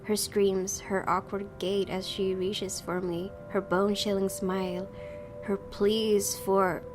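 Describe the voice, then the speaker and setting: A woman doing a casual let's play, reading a visual novel aloud and commenting in an expressive way. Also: mid-range voice, slow pace. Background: game music with guitar.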